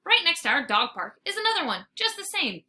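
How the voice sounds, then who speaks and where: A woman's voice: very high voice, very animated, a little fast, bright, forceful tone, pitched above the performer's own voice, heavily acted. A woman voicing an eager, needy young dog from a children's storybook, dramatic, exclamatory and pleading. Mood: excited.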